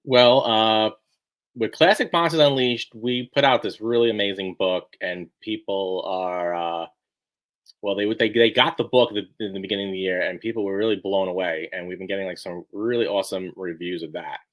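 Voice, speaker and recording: A man being interviewed, chatting casually in a halting, rambling way and often restarting his sentences. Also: fairly low pitch, steady tone. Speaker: book editor being interviewed.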